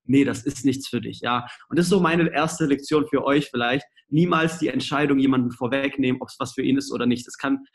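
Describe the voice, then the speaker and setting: A man of twenty-five with a cold-roughened voice, casually telling his life story on a home video call. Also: mid-range voice, a little fast, hardly any pauses. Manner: casual, lively storytelling with slang. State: hoarse from a cold. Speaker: guest speaker. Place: small room at home, indoors. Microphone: laptop webcam, video call.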